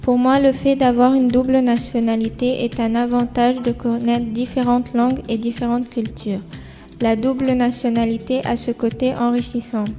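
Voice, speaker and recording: A woman from Egypt, a student guest, reading a prepared personal account carefully and in a measured way. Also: a little high, flat delivery, warm tone, soft background music.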